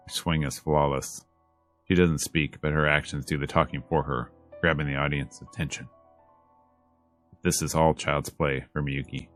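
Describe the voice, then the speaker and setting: A man reading a visual novel aloud as a let's player, in measured, dramatic storytelling narration over game music. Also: a bass voice, a bit bright.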